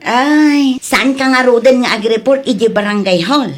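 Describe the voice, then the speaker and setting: Woman acting as high-voiced nagging village wife, Ilocano accent. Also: moderate pace, close to an everyday voice. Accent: Ilocano Filipino. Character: nagging, sharp-tongued village wife and mother. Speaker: actor in an Ilocano comedy drama. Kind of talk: comedy radio drama acting.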